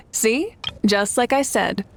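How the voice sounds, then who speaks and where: A woman playing a calm, assured warrior ruler in a video game, measured and reassuring. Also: fairly high pitch, vocal fry, full character voice. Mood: amused, reassuring. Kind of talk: video game character acting. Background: game music.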